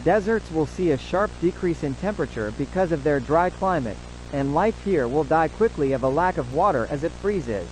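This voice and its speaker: A solemn government emergency announcer played by a man, speaking in a slightly high male voice. The delivery is formal and measured, like an official survival bulletin in an audio drama. A faint hum and hiss sit behind the words. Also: lively intonation, darkish tone, natural voice, low-quality recording. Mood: solemn, instructive. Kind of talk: scripted emergency broadcast narration.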